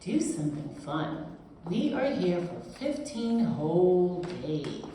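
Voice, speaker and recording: A woman's voice: lowish voice, sing-song, slow, warm tone. A woman playing an easygoing, caring mother in an amateur puppet show, reading scripted lines. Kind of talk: amateur puppet show dialogue and stage directions. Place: indoor puppet show performance, distant mic. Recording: echoey hall, far mic, faint noise.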